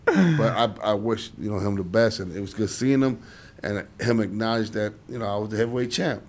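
Low-voiced man from Chicago, storytelling. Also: brisk. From Chicago, USA. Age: 40s. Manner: rambling storytelling, leans on "you know". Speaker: interview guest, former boxer. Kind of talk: casual interview talk.

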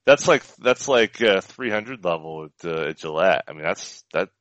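Dull, slightly lo-fi audio carries a low-voiced American man co-hosting a sports podcast. He chats in an easygoing, conversational way and often repeats phrases. The sound is dry and free of echo. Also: animated, normal speed, a bit bright. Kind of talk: casual sports chat. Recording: dull, band-limited audio.

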